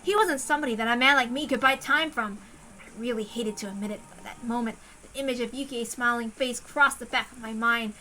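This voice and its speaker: A woman narrates a story in the first person with a dramatic delivery. Her voice sits fairly high and has a very bright, crisp edge. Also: fairly quick, a little hiss or hum, rough recording. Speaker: visual novel reader.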